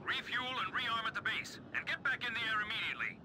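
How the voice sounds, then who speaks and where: An actor playing a stern, commanding military officer in a video game, giving brusque orders over the radio. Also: male voice, high voice, lively intonation, quick pace, noisy background. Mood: stern, impatient. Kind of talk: scripted military radio chatter.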